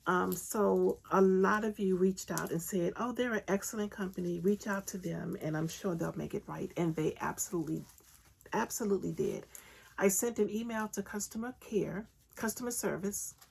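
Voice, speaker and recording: A woman hosting a crafting podcast from home, her voice raspy, husky and congested after being sick. There is a gravelly fry under her mid-pitched talk. She chats along eagerly, with plenty of ums. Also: moderate pace. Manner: chatty, enthusiastic, frequent ums. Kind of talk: casual solo podcast chat.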